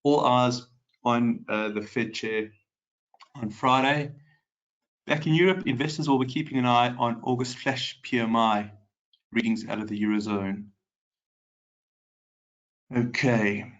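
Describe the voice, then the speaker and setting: A man with a nasal, slightly congested voice hosting a live market webinar, chatting with viewers by name and filling pauses with ums. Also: medium pitch, steady tone, lo-fi sound. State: congested from a cold.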